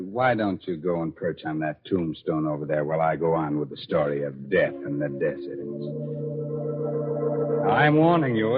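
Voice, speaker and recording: A man hosting a 1940s radio horror show, narrating in a sly, mock-ominous way. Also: on the low side. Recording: dull old radio recording. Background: music.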